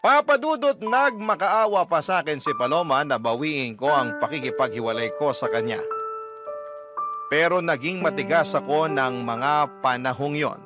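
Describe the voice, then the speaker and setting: A man's voice: on the high side, expressive, normal speed, lightly acted. A man narrating as a regretful but resolute ex-boyfriend in a Tagalog radio drama, earnest and confessional. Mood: resolute, rueful. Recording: loud music bed under narration.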